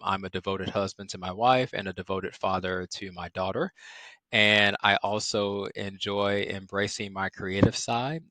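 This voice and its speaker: Very deep-voiced man, measured. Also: sharp tone, no room sound. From Chicago, Illinois. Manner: measured, many "um" fillers. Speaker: psychiatrist guest. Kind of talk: podcast interview.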